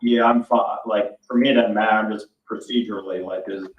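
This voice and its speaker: Low-voiced man, casual board member, echoey room. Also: fairly even intonation. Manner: casual, halting, questioning. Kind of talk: informal meeting discussion. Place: indoor public meeting room. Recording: muffled, echoey room, distant mic.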